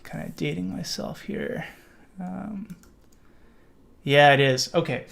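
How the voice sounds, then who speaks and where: Middle-aged Canadian livestream host, gravelly voice, loose and rambling, lots of ums. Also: male voice, on the high side, animated, takes it slowly, a bit bright. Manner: rambling with many fillers and restarts. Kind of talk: casual live Q&A chat.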